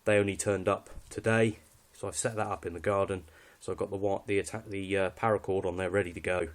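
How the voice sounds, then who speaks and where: A man's voice: low voice, steady tone, quick pace. A British man giving a casual, unscripted walkthrough of his kit in a matter-of-fact, slightly hesitant way. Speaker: hobbyist presenter showing radio kit.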